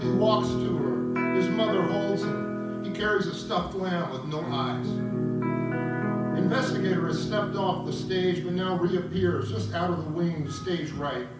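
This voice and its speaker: Echoey, higher-voiced man reading over guitar. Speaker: narrator and reader at an opera table read. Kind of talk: dramatic scripted stage-direction reading with music. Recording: roomy echo, distant mic, loud guitar. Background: loud plucked guitar music.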